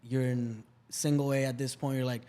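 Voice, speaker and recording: A man's voice: mid-range voice, little pitch movement, moderate pace, mellow. Eager male podcast host. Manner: eager, affirms often with "yeah" and "hundred percent". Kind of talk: casual interview talk.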